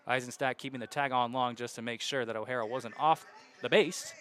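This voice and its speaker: A young man calling baseball play-by-play on radio, walking through counts and base runners in a steady flow. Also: mid-range voice, fairly quick. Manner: steady count-and-situation narration, rising loud on hits. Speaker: play-by-play announcer.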